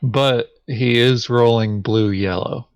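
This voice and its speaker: A man's voice: mid-range voice, takes it slowly, clear, resonant tone, no room sound, studio quality. A man talking casually as he plays a board game with a friend. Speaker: tabletop board game player. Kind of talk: casual chat during board game play.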